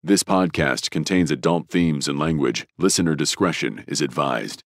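A man's voice: extremely low pitch, moderate pace, dry recording. A man playing a grave, formal announcer reading a scripted disclaimer.

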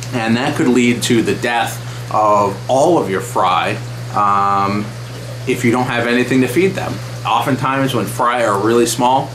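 A man in his thirties talks straight to the camera in an easy, upbeat vlog manner, dropping plenty of "uh"s. His mid-range voice bounces around a small, roomy space. A steady hum sits clearly underneath. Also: mid-range voice. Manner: chatty, enthusiastic, many fillers. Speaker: aquarium channel host. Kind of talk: casual vlog update. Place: small wood-paneled room indoors.

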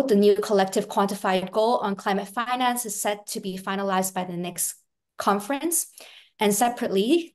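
A young woman from Hong Kong moderates a panel on a video call. She reads her prepared introduction at a measured pace. Her voice comes through a webcam mic. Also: mid-range voice. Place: indoors at home, video call.

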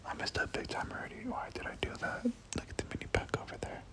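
A gaming creator giving ASMR game commentary in a breathy whisper, often repeating words in soft rhythmic runs. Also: fast talker.

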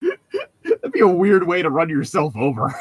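A man's voice: high-pitched, wildly swooping pitch, a little slow, slightly rough, no echo. A man casually joking with friends and reading a novel aloud as a podcast host on a voice call. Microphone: close mic on an online voice call.

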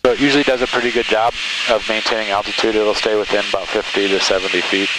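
Man, flight instructor, lowish voice, casual step-by-step explaining, headset intercom, very loud engine drone and hiss. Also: little pitch movement, quick pace. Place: small twin-engine plane cockpit in flight. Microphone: aviation headset intercom. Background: loud engine noise and hiss.

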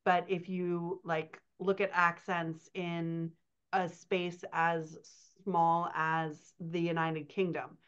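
Lady editor on a video call, lowish voice, kinda muffled lo-fi audio, laughs a lot while she talks. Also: slow pace, smooth. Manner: chatty, laughs often, animated storytelling. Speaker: writing coach and editor. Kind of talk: casual podcast chat over video call. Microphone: computer mic on video call. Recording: slightly dull lo-fi call audio.

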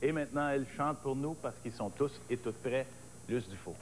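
A man's voice: medium pitch, moderate pace. Man hosting a TV chat. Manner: friendly, teasing, announcing dates. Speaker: TV talk show host. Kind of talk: casual TV interview. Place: indoor TV studio lounge. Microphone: lapel.

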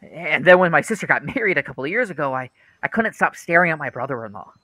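Actor as an earnest, bright-voiced troubled young man. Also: on the high side, animated, fast talker, no room sound. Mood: confessional, embarrassed. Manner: hesitant, confessional. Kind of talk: radio play acting.

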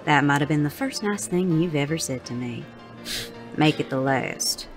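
An actress playing a tough, foul-mouthed Wild West saloon madam in an audio drama, blunt and dry with a Western American drawl. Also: female voice, sits low, lively intonation, normal speed, music in the background. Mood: scornful, dry.

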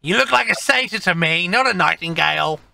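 A man's voice: sits high, animated, a little fast, vocal fry, bright, forceful tone, dry recording, heavily acted. A man voicing a curt, dismissive old female alchemist in a tabletop game.

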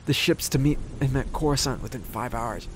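A man's voice: mid-range voice, fairly quick, background noise, close to an everyday voice. A man playing an earnest, determined young inventor in a sci-fi audio drama, speaking in a reflective, sincere way. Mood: urgent, determined.

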